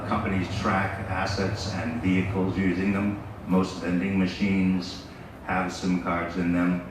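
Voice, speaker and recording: A middle-aged American man giving a conference presentation in a relaxed, conversational way, with frequent fillers. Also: sits low. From United States. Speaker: business development speaker. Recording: echoey hall, distant mic, background noise.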